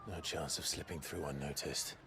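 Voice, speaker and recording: An actor playing a grim, resolute young swordsman who speaks low and quietly, often near a whisper. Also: steady tone, normal speed, gravelly, background noise. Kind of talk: video game cutscene acting.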